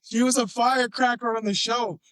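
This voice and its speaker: A man from Chicago with a raspy voice, a podcast guest telling stories in a laid-back, playful way and often repeating his phrases. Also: very high-pitched, steady tone, normal speed, a bit bright, no room sound. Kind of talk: casual storytelling chat.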